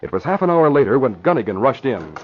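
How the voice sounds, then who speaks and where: A male announcer from 1940s radio reads scripted copy with polished emphasis. His pitch moves in lively, wide swings. The sound is the dull, narrow tone of an old broadcast. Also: fast, soft background music. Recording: muffled vintage radio broadcast.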